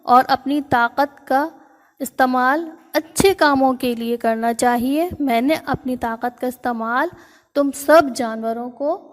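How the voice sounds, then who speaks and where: A woman voicing a big, boastful male elephant who cries loudly and begs. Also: sits high, long reverb tail, close to an everyday voice. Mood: remorseful. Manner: loud, pleading cries.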